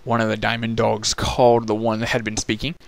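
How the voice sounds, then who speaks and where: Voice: medium pitch, completely flat delivery, brisk, creaky, dry recording. A narrator giving a dramatic reading of a story, telling it in scripted prose.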